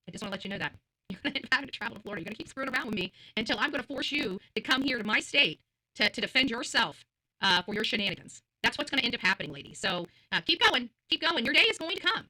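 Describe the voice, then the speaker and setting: Female livestreamer, blunt and combative, sharp forceful voice, racing pace, hammers phrases by repetition. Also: a little high, expressive, racing, poor audio. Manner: combative, confrontational, blunt and profane; emphatic, repeats phrases. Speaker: livestream host. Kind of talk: livestream rant to viewers.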